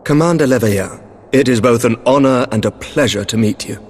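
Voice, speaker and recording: A man's voice: mid-range voice, a little fast, slightly rough, lightly acted. A man voicing a noble male knight commander in a game cutscene, speaking in a formal, courtly, old-fashioned way. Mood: gracious. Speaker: game character. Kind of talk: video game cutscene dialogue.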